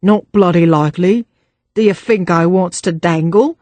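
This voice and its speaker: A woman voicing a rough male Cockney kidnapper who talks bluntly in slang. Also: fairly low pitch, normal speed, dark tone, no room sound, close to an everyday voice. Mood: gruff.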